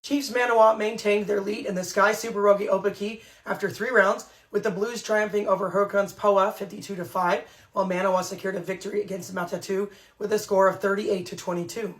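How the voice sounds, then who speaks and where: A woman hosting a news rundown podcast, reading scripted news in a brisk newsreader way with a hoarse voice. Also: medium pitch, steady tone.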